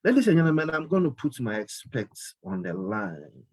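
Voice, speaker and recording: A man's voice: mid-range voice, sing-song, normal speed, a little mellow, husky. A man hosting a live call-in radio show, talking emphatically and often repeating his phrases. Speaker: radio host.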